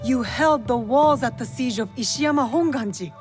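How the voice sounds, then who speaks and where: Woman playing a resolute, high-voiced young shinobi. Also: moderate pace, a little mellow, lightly acted. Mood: earnest appeal. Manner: blunt, resolute, urgent. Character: determined young female shinobi from feudal Iga. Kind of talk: video game cutscene acting. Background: music.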